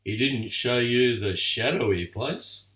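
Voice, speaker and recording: An older man voicing a scheming, jealous lion uncle who speaks slowly with a low sneer. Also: a bit bright. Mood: sly. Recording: echoey room, far from mic.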